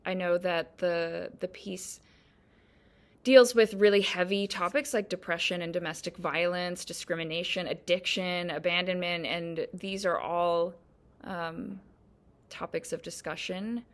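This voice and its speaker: Low-voiced woman, thoughtful. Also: fairly even intonation. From South Korea. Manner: thoughtful, pausing with ums. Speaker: actor introducing herself. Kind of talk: casual self-introduction.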